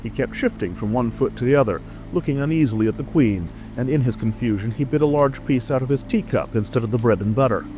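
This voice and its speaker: A man's voice: medium pitch, quick pace, hardly any pauses, warm tone, low-quality recording. A man reading a scripted storybook narration as a dramatic audiobook narrator. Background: music.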